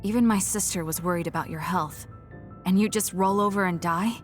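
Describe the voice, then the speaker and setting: A woman's voice: on the low side, a little fast, close to an everyday voice. An actress playing a stern, reserved but caring young female soldier in a video game, with a cool, matter-of-fact delivery. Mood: cold reproach. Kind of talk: video game English voice acting. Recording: game music behind the voice. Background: game music.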